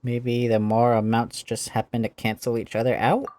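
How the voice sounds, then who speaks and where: A woman's voice: very deep voice, flat delivery, normal speed, mellow. A woman streaming a game, giving playful, slangy casual commentary and reading game text aloud. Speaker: gameplay streamer.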